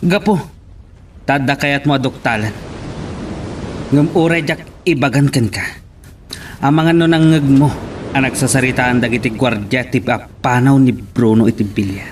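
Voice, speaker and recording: A man's voice: medium pitch, normal speed, pauses often, recorded from a distance, close to an everyday voice. A man playing a cocky, suspicious village man in a radio drama. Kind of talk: Ilocano radio drama acting. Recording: clearly noisy radio drama track.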